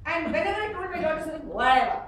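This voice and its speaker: A middle-aged woman with an Indian accent giving an instructional speech to an audience, expressive and emphatic, with dramatic pauses. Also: sits high. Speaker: public speaking trainer at a speakers club. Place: echoey meeting room with an audience. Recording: echoey hall from far off, noisy.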